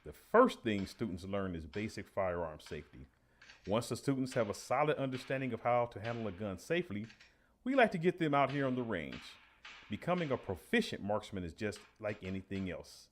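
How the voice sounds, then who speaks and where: Guy acting as earnest veteran high school teacher in a radio play, lowish voice, official explaining tone, noisy recording. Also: sing-song, brisk. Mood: proud, matter-of-fact.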